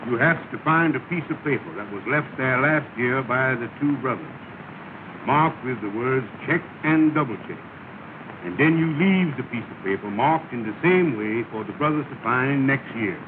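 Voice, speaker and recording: A pompous, solemn lodge leader acted by a man in a vintage comedy film. He hands out orders grandly in folksy, ungrammatical dialect. The soundtrack is thin, narrow and clearly noisy. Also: mid-range voice, expressive, moderate pace, lightly acted. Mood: solemn instructing. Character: solemn, self-important lodge leader giving instructions. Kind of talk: 1930 film comedy acting.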